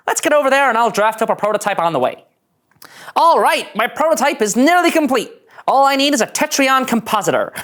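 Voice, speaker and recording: Woman playing an animated, eager starship engineer. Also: a little high. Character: eager, guileless young starship engineer absorbed in gadgets. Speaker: reader at a table read.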